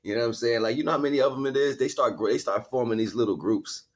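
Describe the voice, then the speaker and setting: A man's voice: medium pitch, fast. A man speaking casually to livestream viewers like a street preacher, in heavy slang, repeating phrases for emphasis. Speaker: livestream host and spiritual teacher.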